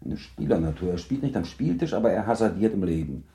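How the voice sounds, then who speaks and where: An actor playing a composed, worldly middle-aged baron and husband in a German radio play, measured and slightly paternal as he advises. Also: deep voice, very animated, mellow, roomy sound. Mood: measured, cautioning.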